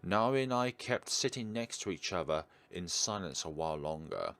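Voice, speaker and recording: Deep-voiced man doing game commentary. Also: animated, moderate pace, fairly bright tone, no room sound. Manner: chatty asides between read-out narration. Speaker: gaming video host. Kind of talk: let's play commentary, reading visual novel text aloud.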